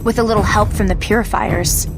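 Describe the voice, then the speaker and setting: An actress voicing a young woman who has just found her mutant powers, soft and earnest, in a video game. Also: medium pitch, fairly even intonation, fairly quick, lightly acted, poor audio. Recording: game audio with loud music behind.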